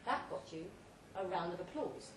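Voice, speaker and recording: A woman reads a short story aloud at a live event, delivering it theatrically in measured, deliberate phrases. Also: on the low side, expressive. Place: pub event room, read aloud live. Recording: roomy, distant live recording with faint noise.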